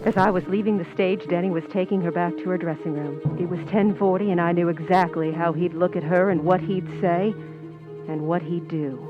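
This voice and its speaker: A woman's voice: fairly low pitch, steady tone, a little fast, phone-quality sound, music in the background, lightly acted. A woman playing a brassy, plain-spoken film stand-in who schemes and confides in an old-time radio drama. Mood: confiding, tense. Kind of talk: old-time radio drama recreation, dialogue and confessional narration.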